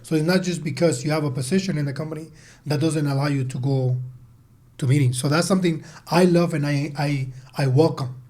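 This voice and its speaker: A man's voice: a little high, a little fast, darkish tone. A man in his thirties answering conversationally as an interview guest, emphatic and repeating words for stress. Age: 30s. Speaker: podcast guest, sales team leader and entrepreneur.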